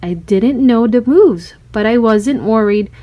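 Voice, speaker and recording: High-voiced woman reading a storybook. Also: a little slow, lo-fi sound. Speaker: storybook narrator. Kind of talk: expressive read-aloud for children.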